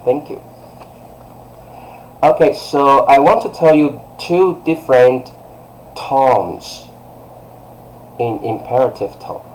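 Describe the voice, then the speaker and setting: Slow, patient man teaching a language lesson. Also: mid-range voice, darkish tone, a little hiss or hum, low-quality recording. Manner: patient, repetitive, fillers like okay and uh. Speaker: Chinese teacher. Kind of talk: live-streamed language lesson. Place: small room by a curtained window. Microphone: webcam close-up.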